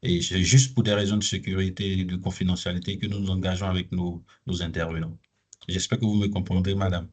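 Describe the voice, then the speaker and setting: A man moderating an online conference over a video call, polite and measured. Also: low-pitched, little pitch movement, a little mellow.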